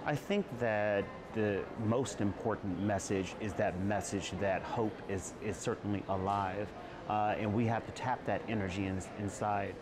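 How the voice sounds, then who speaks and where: A man speaking earnestly as an interview guest, at times halting and repeating words. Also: fairly low pitch, noisy background. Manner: emotional, halting restarts. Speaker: guest, trauma survivor and advocate.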